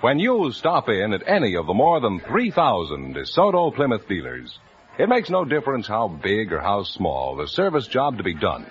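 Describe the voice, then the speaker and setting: A man announcing on a radio quiz show and reading sponsor ads in an emphatic, booming delivery. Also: wildly swooping pitch, normal speed. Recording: dull old radio broadcast.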